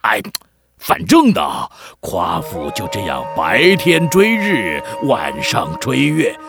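A man's voice: lowish voice, wildly swooping pitch, takes it slowly, gravelly, over music, full character voice. A man voicing a theatrical middle-aged village chief, a long-winded, avuncular storyteller in a children's radio drama. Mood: dramatic narrating. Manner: theatrical, mock-solemn, avuncular.

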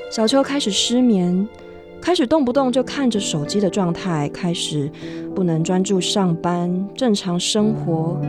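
An actress playing a candid, flighty woman who narrates a radio drama about her friend in an expressive, confiding way. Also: mid-range voice, lightly acted. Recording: music bed under the voice.

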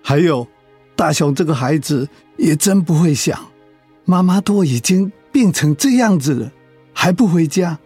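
Man acting a husky, weary elderly father. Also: fairly high pitch, animated, unhurried, a little mellow, faint music behind. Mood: exasperated. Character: weary elderly father, proud but pleading, soothing husband. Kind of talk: radio drama acting.

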